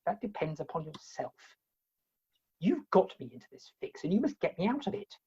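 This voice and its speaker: Woman as indignant theatrical hero, wordy comic period role, animated and husky, muffled online recording. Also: low-pitched, heavily acted. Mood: reproachful. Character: indignant, exasperated comic hero pleading his case. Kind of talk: comic Victorian stage play acting. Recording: dull, lo-fi video-call audio.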